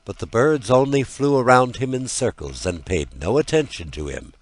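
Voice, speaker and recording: A man narrating a scripted fairy tale as an audiobook, in a dramatic and deliberate storytelling manner. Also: mid-range voice, lively intonation.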